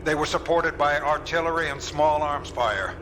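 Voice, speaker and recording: An actor playing a blunt, hard-line army general in a radio drama. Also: male voice, a little high, moderate pace, noisy background, natural voice. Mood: firm, grim.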